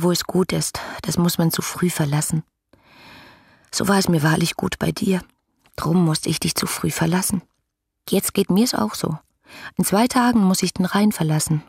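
A woman's voice: on the low side, gravelly, husky. An actress playing a fiery, headstrong young woman reading out her adoring letters with impassioned expression in a German radio play. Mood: wistful, confiding. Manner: impassioned, expressive literary delivery.

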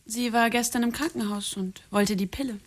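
An actress playing a blunt, teasing, world-weary young woman in a radio drama, with a husky, creaky voice and a frank way of talking. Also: a little high, steady tone, normal speed, close to an everyday voice.

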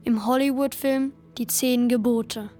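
A woman voicing childhood memories in a radio play. She speaks slowly in a fairly high voice, with soft music behind her. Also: fairly even intonation. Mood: matter-of-fact.

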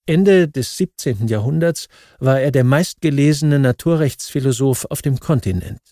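A man reads a history book as scripted audiobook narration, in a measured, factual manner. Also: medium pitch, warm tone, no room sound, studio quality.

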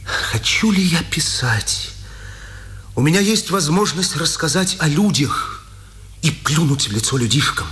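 Casting: condemned political prisoner, intense and reflective, bright forceful tone, old radio play with faint hiss. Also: male voice, a little high, lively intonation, unhurried. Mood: brooding. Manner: theatrical, poetic, intense. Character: imprisoned resistance man facing execution, reflective, impassioned, defiant. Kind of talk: 1950s Soviet radio drama acting. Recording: vintage radio recording with hiss.

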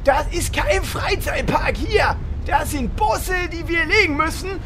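A bossy male leader of adventurers in a comedy audio drama. The voice is very high and lively, indignant and drawn out, over faint noise. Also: a little slow, exaggerated voice. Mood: exasperated. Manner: shouting, indignant, theatrical. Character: exasperated, bossy male party leader addressed as sire.

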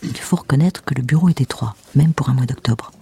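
A man playing a wry, deadpan storyteller in a comic police audio drama, speaking in a dry literary way with a husky, gravelly, breathy voice. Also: mid-range voice, brisk, a little hiss or hum, natural voice. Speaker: narrator. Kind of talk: scripted comic fiction narration.

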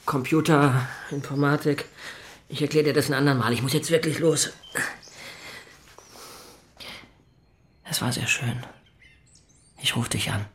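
An actor playing a sober systems consultant, a smitten city single, matter-of-fact in a German radio play. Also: male voice, mid-range voice, moderate pace, long pauses, fairly bright tone, a little hiss or hum, natural voice. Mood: matter-of-fact, hurried.

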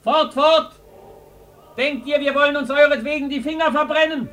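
An actor in a German radio play playing a hostile, frightened townsman who scolds in a shrill, scornful manner. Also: steady tone, normal speed, fairly bright tone. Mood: angry, scornful. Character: hostile, frightened townsman chasing outcasts away.

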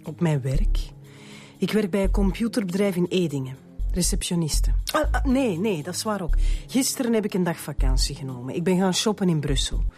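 An actress with a Flemish accent playing a guarded neighbour under police questioning in a radio drama, speaking in clipped sentences. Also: female voice, fairly low pitch, expressive, fairly quick, a little mellow, soft background music, natural voice. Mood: guarded, flustered.

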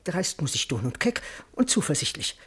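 Here is a servant who is cheeky, boastful and cowardly at heart. His pitch leaps about freely as he declaims classical verse for a radio drama. He is wordy and comically self-important. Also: sing-song, moderate pace, fairly bright tone, slightly rough, heavily acted. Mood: frightened, wary.

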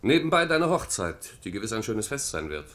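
Grave, foreboding companion. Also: male voice, on the low side, very animated, brisk, a bit bright. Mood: ominous. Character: sombre foreboding Huguenot companion of the prince. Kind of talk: German radio play acting.

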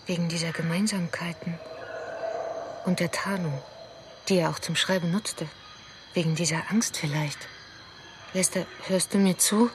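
A woman's voice: on the low side, steady tone, a little slow, long pauses, noisy background, lightly acted. An actress playing an anxious, caring companion in a radio play, earnest in her delivery. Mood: hushed, reflective. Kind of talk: German radio play acting. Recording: music under the voice.

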